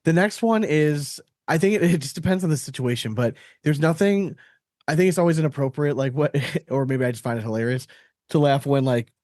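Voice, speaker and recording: A man's voice: fairly high pitch, brisk, a little mellow, dry recording, clean studio sound. A man chatting casually on a podcast in an informal, slangy, joking way.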